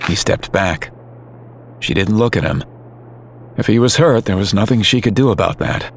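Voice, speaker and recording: A man narrating a story in a calm, measured, scripted way. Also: on the low side, a little hiss or hum. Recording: music under narration.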